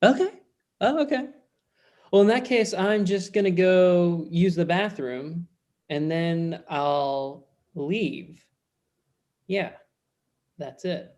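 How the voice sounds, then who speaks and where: A man's voice: sits high, slow pace, long pauses, darkish tone. A man plays a cheeky, hapless former employee in his thirties. He reads a comedy script in an everyday voice over a video call. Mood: wheedling. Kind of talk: remote table read of a comedy script.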